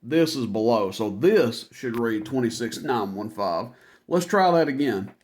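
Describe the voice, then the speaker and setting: A man's voice: mid-range voice, lively intonation, measured pace, a little mellow, a little hiss or hum. A man giving a casual product demonstration as a seller, talking informally and rambling through each step as he goes.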